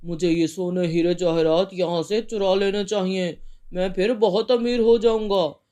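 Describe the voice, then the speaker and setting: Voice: mid-range voice, fairly even intonation, measured pace, mellow, clean tone, natural voice. A performer voicing a greedy, cruel young male thief in a cartoon fairy tale, brash and bullying. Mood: greedy scheming.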